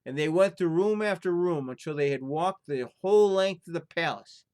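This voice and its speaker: A man's voice: fairly high pitch, normal speed, a little mellow, clean tone. Male storyteller sharing folktales. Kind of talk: folktale storytelling with informal introduction.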